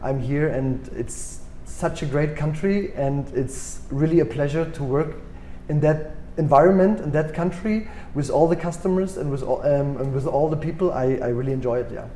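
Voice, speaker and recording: A man's voice: a little high, normal speed, mellow, slight background noise. German-accented man, echoey room. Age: 40s. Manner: thoughtful, many ums and restarts. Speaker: guest executive. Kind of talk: casual interview answers.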